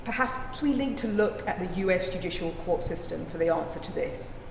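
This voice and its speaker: A woman playing a solemn, impassioned lawyer who addresses a courtroom with deliberate, rhetorical phrasing. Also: lowish voice, lively intonation, gravelly. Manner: deliberate, rhetorical, emphatic pauses. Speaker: lawyer giving a closing argument. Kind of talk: courtroom drama speech. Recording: echoey hall with faint noise.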